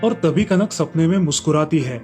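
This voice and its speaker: A man narrating a moral story for a cartoon in a steady storytelling manner. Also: fairly high pitch, brisk, soft background music.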